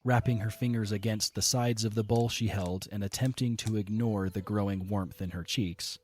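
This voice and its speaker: A man reading scripted story narration as the narrator of an audio drama, in a measured storytelling manner over music. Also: on the low side, fairly even intonation, darkish tone.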